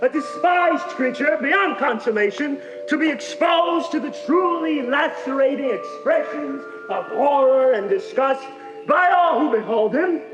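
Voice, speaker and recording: A male actor playing a loud, brash sideshow barker, declaiming theatrically to a crowd on a stage. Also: very high-pitched, expressive, measured pace, dull audio. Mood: brash showmanship. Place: high school theater stage. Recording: echoey stage hall with music behind.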